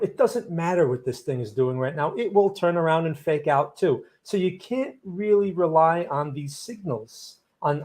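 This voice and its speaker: A man's voice: a little high, darkish tone. A man with a New Jersey accent hosting a live market-analysis stream, answering chat questions in a blunt, opinionated, rambling way. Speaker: trading livestream host.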